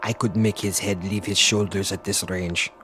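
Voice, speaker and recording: A man's voice: sits low, fairly even intonation, moderate pace, bright, forceful tone, soft background music. An actor playing an eager, bloodthirsty young henchman in a radio drama, blunt and impatient yet deferential.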